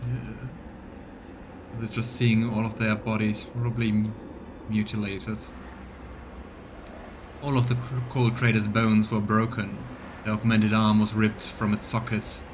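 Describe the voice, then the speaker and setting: A man doing live let's play commentary, reading game text aloud and thinking out loud for his viewers. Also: lowish voice, noisy background. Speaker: live streamer voicing a game playthrough.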